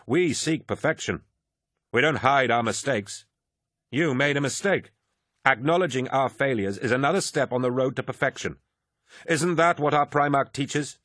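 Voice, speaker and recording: Man playing an earnest, boyish, higher-voiced proud warrior. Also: lively intonation, normal speed, fairly bright tone, no room sound, clean studio sound. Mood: solemn. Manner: solemn, earnest, slightly boyish. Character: proud, earnest young male warrior, childlike tone.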